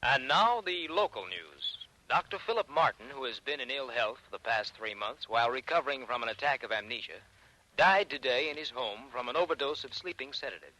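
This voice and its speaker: An actor playing an impassive newsreader giving a 1940s radio bulletin, heard as if over a radio. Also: male voice, mid-range voice, animated, brisk, bright, forceful tone, poor audio. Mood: formal, detached. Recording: vintage radio recording.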